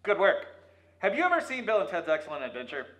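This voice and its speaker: A man's voice: high voice, huge pitch swings, quick pace, a bit bright. A man hosting a playful interview in a jokey manner, calling out through a megaphone in an echoey room. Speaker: host, museum staff.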